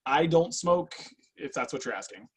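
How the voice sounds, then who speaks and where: A man's voice: fairly high pitch, expressive, normal speed, breathy edge. A man in a script reading, playing a composed, businesslike personal assessor who probes people. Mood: calm, probing. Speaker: actor in a screenwriters' readers theatre.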